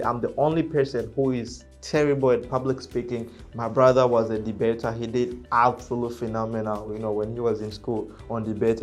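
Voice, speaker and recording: A real estate agent vlogging to camera in English, which is not his first language. He is reserved and soft-spoken and speaks haltingly, with many fillers and self-corrections. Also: medium pitch. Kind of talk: casual talking-to-camera vlog.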